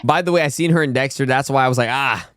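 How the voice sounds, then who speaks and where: A man's voice: medium pitch, fast, a bit bright, clear, resonant tone, dry recording, clean studio sound. A young man from Brazil chatting casually and rambling with slang while reacting to a video. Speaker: reaction channel host.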